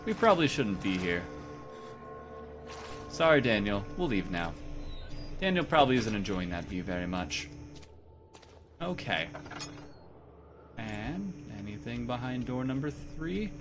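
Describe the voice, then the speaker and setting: A man talking his way through a horror game in a lively, bouncing voice, joking at his own expense and chatting to his character. Clear game music plays behind him. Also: mid-range voice, measured pace, long pauses. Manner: chatty, self-mocking, talks to the game character. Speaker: let's play gamer. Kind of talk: casual game commentary.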